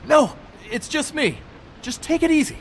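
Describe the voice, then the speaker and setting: Slippery little game crook, fast-talker type, voice jumps around a lot, noisy rainy background. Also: male voice, very high-pitched, lively intonation. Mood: defensive. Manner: glib, ingratiating, hedging patter. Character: slippery small-time thief, cornered, wheedling and glib. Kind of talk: video game voice acting.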